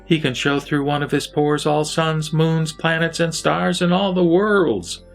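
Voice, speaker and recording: A man voicing a wise male lay sage and patient teacher, speaking in a slow, measured, solemn way. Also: fairly high pitch, little pitch movement, creaky, faint music behind, pitched above the performer's own voice. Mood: expounding. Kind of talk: scripted audio drama dialogue.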